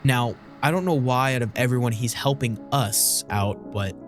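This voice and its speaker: A man's voice: medium pitch, moderate pace, over music. A man giving casual, reflective, rambling commentary as he hosts a video game playthrough. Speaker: gaming video host.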